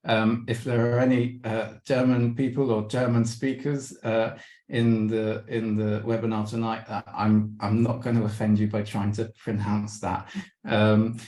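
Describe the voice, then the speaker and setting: A man presents a travel slideshow over a video call, hesitating often with ums and restarts. His voice sits low and stays fairly level, and it sounds worn, as if he is losing it. The room around him is noticeably echoey. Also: flat delivery, unhurried, mellow. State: losing his voice, clearing throat. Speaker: webinar presenter.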